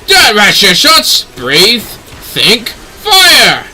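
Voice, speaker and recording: A man's voice: high-pitched, huge pitch swings, drawn-out words, pushed and bright, a little hiss or hum, full character voice. A man voicing an eloquent, effusive nobleman from a fantasy game, with florid, theatrical phrasing. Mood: rousing. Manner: florid, theatrical, formal speech. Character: flamboyant, eloquent nobleman, effusive patron of a workshop. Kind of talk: fantasy game dialogue read aloud.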